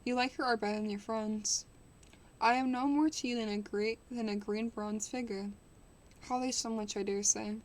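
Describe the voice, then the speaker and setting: A woman's voice: a little high, fairly even intonation, normal speed, close to an everyday voice. A woman voicing a vain young man who dreads growing old, reading in her own voice with small stumbles. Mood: bitter. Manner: reads with small stumbles and restarts. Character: young man, vain, anxious about aging.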